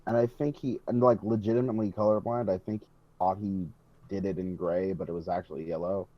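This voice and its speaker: A man's voice: low-pitched, steady tone, fairly quick, muffled recording. A young man chatting casually as a podcast host. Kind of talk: casual chat.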